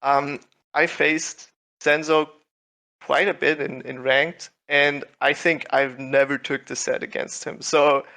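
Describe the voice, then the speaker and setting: A man's voice: on the high side, flat delivery, takes it slowly, pushed and bright. A young man from Switzerland, a tournament winner speaking as a guest in a casual interview. He talks in a laid-back, humble way, full of fillers and restarts. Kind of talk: casual video-call interview.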